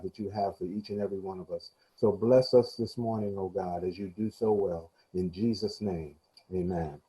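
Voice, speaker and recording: A man's voice: on the low side, dark tone, poor audio. A man leading an opening prayer in a fervent, reverent way. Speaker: prayer leader.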